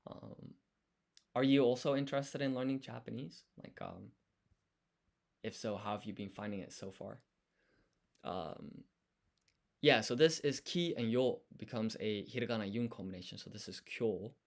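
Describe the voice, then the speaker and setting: A man's voice: fairly low pitch, moderate pace, gravelly. A man livestreaming casually as he studies, thinking aloud and sounding out words syllable by syllable. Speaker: live streamer studying Japanese. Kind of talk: casual live study chat.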